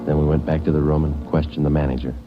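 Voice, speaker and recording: A clipped deadpan cop narrating an old radio crime show, super deep voice, dull old broadcast sound. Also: male voice, quick pace, music in the background. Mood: terse. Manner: clipped, flat, matter-of-fact delivery. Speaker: narrator and lead detective. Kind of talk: 1940s radio drama narration.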